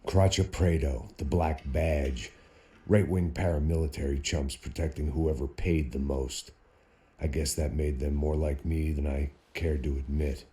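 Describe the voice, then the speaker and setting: An actor playing a weary, cynical middle-aged American ex-cop bodyguard in a noir video game, deadpan and sardonic. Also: male voice, very deep voice, a little slow. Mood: wry, brooding. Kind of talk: hard-boiled noir game narration and dialogue.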